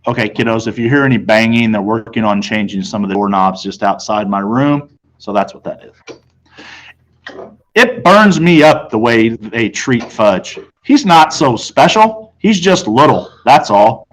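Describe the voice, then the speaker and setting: An American man reading a children's novel aloud as a teacher, with expressive storytelling. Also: fairly low pitch, measured pace, low-quality recording. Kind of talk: audiobook-style read-aloud of children's novel, first person.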